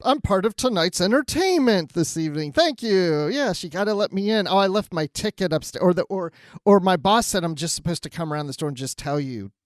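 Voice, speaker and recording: Higher-voiced male podcast co-host. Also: expressive, brisk, no echo, clean studio sound. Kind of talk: casual chat.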